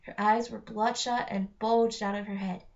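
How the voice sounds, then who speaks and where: A woman's voice: mid-range voice, level tone, normal speed, creaky, darkish tone. A woman narrating a children's story aloud, reading from the text with an occasional stumble. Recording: dull lo-fi home recording.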